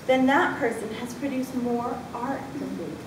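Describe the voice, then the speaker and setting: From a distance in a very echoey room comes a high, brisk schoolteacher, acted by a woman. She is exasperated and firm, as in a staged school story, with clear noise rumbling behind her. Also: lightly acted. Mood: firm, opinionated. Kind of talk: readers theatre school story performance.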